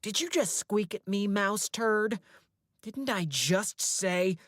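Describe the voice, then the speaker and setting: Woman putting on a menacing male closet creature, coaxing, controlling, higher than her own voice, dry close sound. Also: very animated, slow pace, dry recording, full character voice. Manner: coaxing then threatening, controlling.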